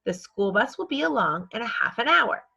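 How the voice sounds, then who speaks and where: A woman voicing a brisk, commanding farm mother in a storybook, giving orders to the household. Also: on the high side, sing-song, creaky, sharp tone, husky, lo-fi sound, exaggerated voice. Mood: bossy.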